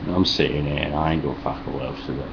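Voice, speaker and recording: Deep-voiced sweary Cockney Essex man. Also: quick pace, creaky, lo-fi sound, noisy background. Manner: deadpan, sarcastic, rambling, heavy swearing. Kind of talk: sweary deadpan vlog response.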